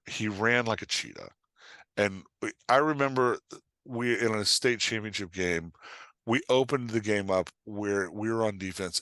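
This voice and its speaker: A man's voice: lowish voice, dry recording. Emphatic man explaining in casual chat. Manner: emphatic, animated storyteller who often checks in with "right?". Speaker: podcast guest, writing coach and author.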